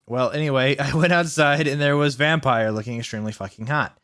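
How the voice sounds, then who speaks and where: A man hosting a comic read-aloud of fan fiction, sardonic, with mocking asides and deliberately kept mistakes. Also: medium pitch, a bit bright. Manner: deliberately reads out misspellings, sardonic.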